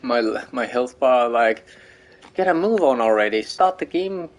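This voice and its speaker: Slow, hesitant Danish-accented man. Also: mid-range voice, animated. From Denmark. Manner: hesitant, full of fillers and restarts. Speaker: streamer. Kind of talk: let's play commentary.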